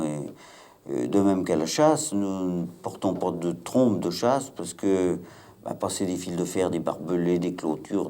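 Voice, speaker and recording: A man from Maine-et-Loire, master of a hunting pack, explaining things at a measured pace with frequent hesitations in a documentary interview. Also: deep voice, mellow. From Maine-et-Loire, France.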